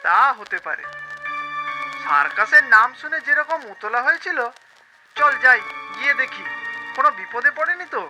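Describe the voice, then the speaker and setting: A concerned elder-brother jackal from a cartoon. The pitch is extremely high and the manner questioning, with loud music underneath. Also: male voice, very animated, normal speed, pauses often, rough recording. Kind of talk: cartoon voice acting.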